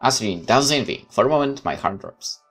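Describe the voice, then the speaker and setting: Lady reading a visual novel out loud, really deep voice, animated, chatty comments, music playing. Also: quick pace. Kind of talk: expressive story reading with casual commentary.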